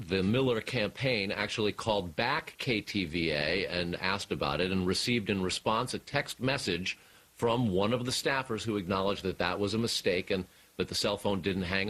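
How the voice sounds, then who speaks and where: A man with an American accent reporting live as a television news correspondent, deliberate and emphatic. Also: fairly low pitch, fairly bright tone, husky, rough recording.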